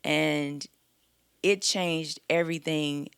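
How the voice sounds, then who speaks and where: Podcast guest: woman singer, low gravelly speaking voice, soft and reflective, dry close mic. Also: steady tone, slow, dry recording. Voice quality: light, sweet voice. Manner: soft-spoken, thoughtful, reflective. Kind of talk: casual podcast chat.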